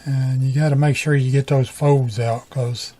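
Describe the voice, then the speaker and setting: A man's voice: mid-range voice, fairly even intonation, normal speed, dark tone, slightly rough. A middle-aged man giving a casual hands-on tutorial, talking in a folksy, colloquial way and half muttering to himself as he works. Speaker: repair hobbyist.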